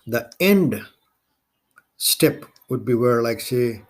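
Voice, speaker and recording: A man from Nepal with a Nepali accent hosts a solo podcast, speaking in halting, deliberate phrases. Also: mid-range voice, lively intonation. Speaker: podcast host.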